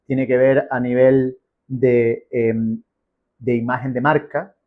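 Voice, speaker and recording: A man's voice: medium pitch, little pitch movement, takes it slowly. Venezuelan man on a phone-like line. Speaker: podcast guest, business professional. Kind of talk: conversational interview answers. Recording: muffled, phone-like narrow band.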